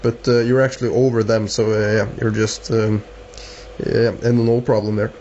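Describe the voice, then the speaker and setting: A man with a Norwegian accent chatting casually as a radio operator, halting and repeating words. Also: on the low side, flat delivery, darkish tone. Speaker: amateur radio operator filming the contact. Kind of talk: casual two-way radio chat. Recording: dull lo-fi camera sound, faint hiss.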